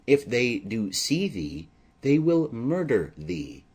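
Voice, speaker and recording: A man voicing a smitten, anxious young maiden in earnest, measured Shakespearean verse, as in an audio drama. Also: mid-range voice, sing-song, darkish tone, slightly rough, heavily acted.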